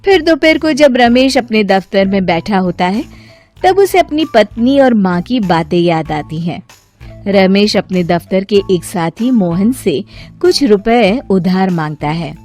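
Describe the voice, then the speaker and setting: A woman's voice: mid-range voice, lively intonation, unhurried, a little mellow, soft background music. A woman narrator reading a scripted story.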